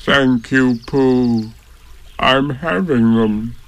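A man voicing a gloomy old male donkey with a slow, mournful drawl. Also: a little high, very animated, fairly bright tone, a little hiss or hum, voice pushed up, heavily acted.

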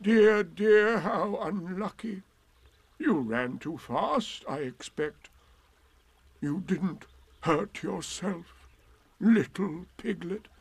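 A man voicing a gloomy, sardonic old donkey from a children's storybook, with a drawn-out, lugubrious delivery. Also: sits high, lively intonation, creaky, slightly rough, no echo, pitched above the performer's own voice, exaggerated voice. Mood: resigned. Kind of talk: children's storybook character reading.

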